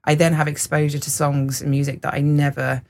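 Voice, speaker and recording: A singer rambles through stories in a radio studio, with plenty of ums and laughs. The voice sits mid-pitch with a gravelly fry at the edges of phrases. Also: level tone, fairly quick. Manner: rambling, warm anecdotes with frequent laughs and fillers. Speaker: singer and music teacher. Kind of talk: casual radio interview.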